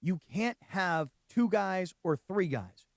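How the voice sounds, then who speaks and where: A male sports radio co-host with a notably high voice, recorded dry in the studio. He hammers his points home, repeating lines for emphasis in a casual debate. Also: lively intonation, slow, vocal fry, no echo.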